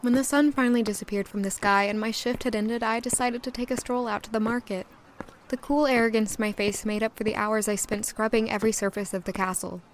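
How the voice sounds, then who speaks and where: An actress playing a proud, wistful young castle maid, narrating reflectively in the first person for an audio drama. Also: female voice, a little high, fairly even intonation, a little fast, a little hiss or hum, natural voice. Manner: reflective, descriptive.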